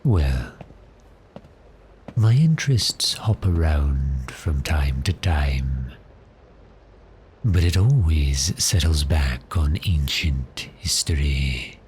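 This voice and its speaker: Casting: male actor for a genteel, pleasant male vampire in ASMR roleplay, bottomless bass register, faint noise behind the voice. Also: expressive, heavily acted. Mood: musing, pleasant. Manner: polite, unhurried, softly genteel with measured pauses. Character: cheerful, courteous male vampire posing as a bookshop customer.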